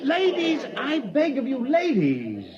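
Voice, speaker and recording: A man's voice: very high voice, wildly swooping pitch, takes it slowly, very noisy, heavily acted. An actor playing a pompous, flustered male spaceship captain in a 1950s radio drama, stammering as he presides over a hearing. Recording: dull old radio broadcast.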